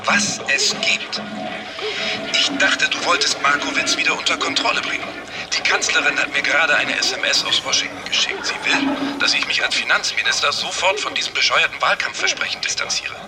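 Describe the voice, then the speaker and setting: A man's voice: on the high side, sing-song, brisk, recorded from a distance, over loud music. An actor playing an exasperated, reproachful male finance minister on the phone in a satirical radio play. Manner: reproachful, agitated.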